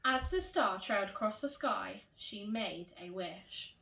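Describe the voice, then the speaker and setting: Roomy distant recording, woman, primary school teacher, lively swooping intonation, storytelling for young children. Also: mid-range voice, unhurried, vocal fry. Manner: expressive, addressing young children directly. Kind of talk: animated children's storybook reading. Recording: roomy echo, distant microphone.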